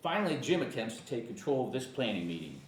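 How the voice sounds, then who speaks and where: Animated male narrator in echoey room. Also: medium pitch, fast talker, distant mic. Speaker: narrator of screenplay directions. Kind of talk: scripted narration at a table read. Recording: echoey room, off-mic, faint hiss.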